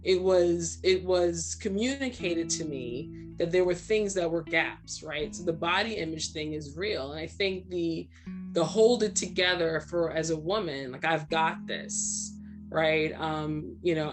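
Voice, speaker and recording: A woman's voice: on the low side, normal speed, faint music behind. A woman in her forties from Brooklyn and Trinidad, speaking candidly and conversationally about herself as a panel guest, often checking in with "right?". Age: 40s. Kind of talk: candid personal storytelling in panel discussion.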